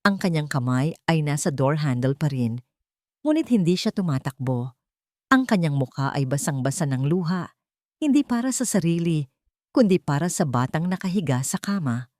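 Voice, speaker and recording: A woman's voice: deep voice, big pitch swings, moderate pace, darkish tone, dry recording, clean studio sound. A woman narrating an audio drama in a scripted, emotional and emphatic storytelling style.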